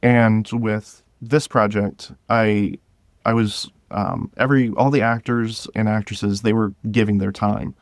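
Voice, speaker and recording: A man speaking as an interview guest, telling stories about directing in a casual, halting way with some chuckles. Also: lowish voice, steady tone, dry recording, studio quality. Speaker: guest, director of an audio drama podcast.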